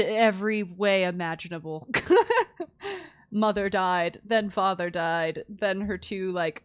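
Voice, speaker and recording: A woman livestreaming a game, chatting casually in a playful, teasing, giggly and expressive way. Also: medium pitch. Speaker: livestream host. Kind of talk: casual game-stream chat.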